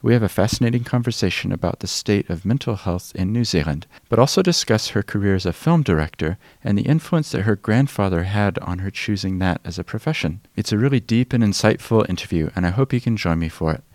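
Very deep-voiced male podcast host. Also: animated, quick pace, hardly any pauses, no room sound. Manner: scripted intro, softer hesitant questions. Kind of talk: podcast intro and interviewing.

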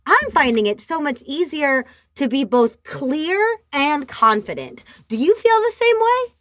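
A woman with a nasal voice talking casually on a podcast, expressive and animated as she tells stories. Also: high-pitched, a little slow, dry recording. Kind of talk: casual chat. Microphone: remote call.

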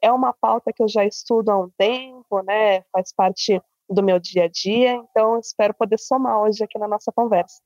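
Convidada brasileira animada. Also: female voice, mid-range voice, moderate pace, few pauses, darkish tone, no echo, poor audio. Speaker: guest, podcast producer. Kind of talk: podcast conversation.

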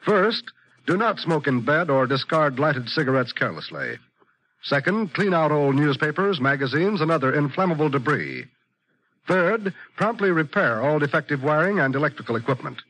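A man's voice: fairly high pitch, fairly bright tone, rough recording. A man with an American accent announcing in an emphatic, formal 1950s radio style. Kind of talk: 1950s radio announcing, scripted public service message.

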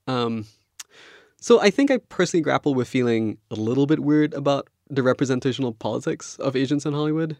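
A man's voice: mid-range voice, expressive, brisk, a little mellow, dry recording. A man hosting an interview podcast, speaking in a considered, conversational way. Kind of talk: conversational interviewing, scripted credits.

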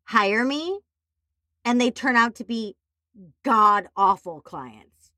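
A woman's voice: a little high, expressive, takes it slowly, bright, forceful tone, dry recording, studio quality. A woman hosting a podcast who chats playfully and animatedly and speaks directly to the listeners. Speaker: podcast host. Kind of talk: casual conversation.

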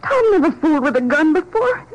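An actress playing a distraught working-class wife, plaintive and folksy, in 1950s radio drama style. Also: female voice, high voice, very animated, brisk, lo-fi sound. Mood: tearful, anxious. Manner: plaintive, folksy ungrammatical speech.

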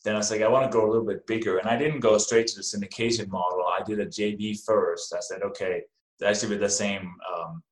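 A man's voice: fairly low pitch, steady tone, quick pace. A middle-aged man with a Danish accent, talking casually as a guest in an interview. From Denmark. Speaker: podcast guest, real estate investor. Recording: roomy, echoing sound.

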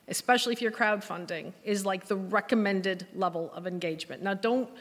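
A woman gives advice as a panel guest in a big reverberant room. Her mid-pitched voice comes across blunt and emphatic, and she repeats points to drive them home. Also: brisk. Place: live event panel in a hall. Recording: echoey hall with long reverb.

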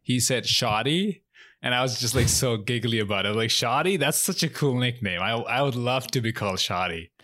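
A man's voice: medium pitch, normal speed, bright, forceful tone, no echo. A man from Bangladesh, a comedian guest, chatting on a podcast over a call in an upbeat, giggly, rambling way. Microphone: remote call.

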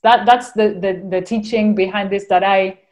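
A woman's voice: medium pitch, fairly even intonation, moderate pace, a little mellow. A woman with an Argentinian accent, a podcast guest talking casually and earnestly about her spiritual life, rambling and dotting her talk with "you know".

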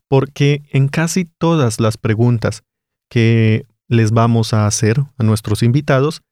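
A man's voice: mid-range voice, a little mellow, dry recording, clean studio sound. Colombian man hosting a podcast. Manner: friendly quiz-style questions. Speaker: podcast co-host. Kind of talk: scripted educational podcast.

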